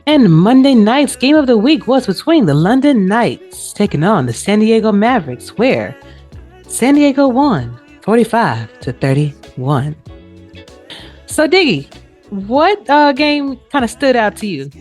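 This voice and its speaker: Female host, animated intonation, chatty sports recap, informal, faint music behind. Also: a little high, unhurried. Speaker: show host.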